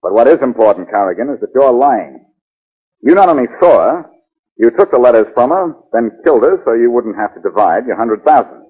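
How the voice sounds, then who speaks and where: An actor playing a confident, wisecracking male detective in 1940s radio drama, brisk and commanding with a wry edge. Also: mid-range voice, big pitch swings, husky. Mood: pressing, accusing. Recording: muffled 1940s radio recording.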